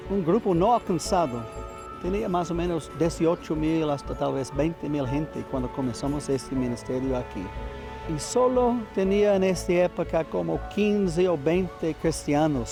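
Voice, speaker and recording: Gravelly American man in halting Spanish. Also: fairly high pitch, music in the background. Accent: American English. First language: English. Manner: halting non-native Spanish. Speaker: missionary. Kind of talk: interview testimony.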